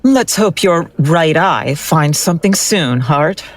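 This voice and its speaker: A woman playing a skeptical, exacting forensic analyst in an audio drama, with a deadpan, sardonic way of talking. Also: deep voice, takes it slowly. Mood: dry, sardonic.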